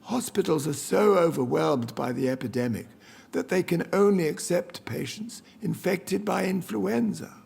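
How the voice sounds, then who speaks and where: An actor playing an elderly, devoted, sorrowful British butler in a video game, formal and deferential with gentle reproach. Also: male voice, high voice, normal speed, creaky. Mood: worried, solemn. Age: older. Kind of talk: video game dramatic dialogue.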